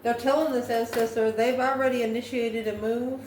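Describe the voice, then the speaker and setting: A woman giving an intuitive tarot reading to viewers, musing aloud in short, halting phrases. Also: on the high side, level tone, a little mellow. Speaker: tarot reader, host. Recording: roomy echo, distant mic, background noise.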